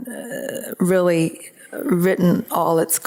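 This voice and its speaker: A woman's voice: on the low side, slow pace, creaky, darkish tone. A woman in conversation as a student questioner, sharing reflectively and tentatively as she searches for her words.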